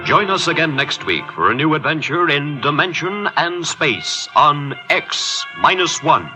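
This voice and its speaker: A man's voice: fairly high pitch, very animated, a little slow, music in the background, low-quality recording. A man with an American accent giving a formal, scripted sign-off in 1950s radio announcing style.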